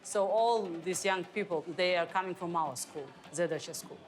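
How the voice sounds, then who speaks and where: A woman's voice: fairly low pitch, moderate pace. A woman from Georgia, speaking earnestly in simple English about teaching folk music. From Georgia (country). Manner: earnest, plainspoken in non-native English. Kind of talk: interview, personal account.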